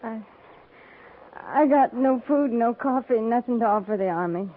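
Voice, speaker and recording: Weary, high-voiced frontier widow. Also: female voice, lively intonation, moderate pace, narrow, tinny audio. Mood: guarded, weary. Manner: bleak, weary, guarded. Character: proud, weary, bereaved frontier wife. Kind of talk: 1950s radio western acting. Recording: thin, noisy 1950s radio recording.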